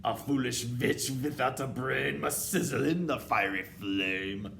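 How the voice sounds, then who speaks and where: A man's voice: medium pitch, unhurried, vocal fry, a bit bright, a little hiss or hum, pitched above the performer's own voice. A man voicing a tyrannical, furious female leader of witches in a storybook, shrieking commands and rhetorical questions. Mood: cold fury. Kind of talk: children's storybook read-aloud.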